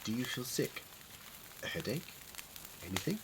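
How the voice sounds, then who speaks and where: Man playing clever eccentric Time Lord, animated intonation, wordy and wry, fan audio drama, noticeable sizzling noise behind. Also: mid-range voice, normal speed, lightly acted. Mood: probing. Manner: musing, wordy, wryly witty.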